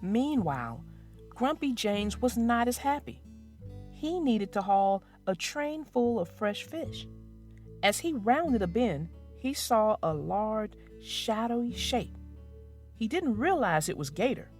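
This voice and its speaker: A woman narrating a children's book aloud in a slow, expressive storytelling manner. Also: fairly high pitch, faint music behind.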